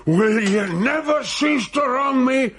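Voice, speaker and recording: An actor playing an angry, vengeful ghost from another time in a radio play, declaiming in archaic speech. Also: male voice, very high voice, slow pace, background noise. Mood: furious, tormented. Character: angry vengeful old-time male ghost, menacing and pleading. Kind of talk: radio drama acting.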